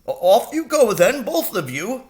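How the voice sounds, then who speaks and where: A man's voice: sits high, big pitch swings, unhurried, darkish tone, pitched above the performer's own voice. A man voicing a flustered, furtive but kindly little headmaster in a storybook, stammering and hesitant. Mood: nervously dismissive.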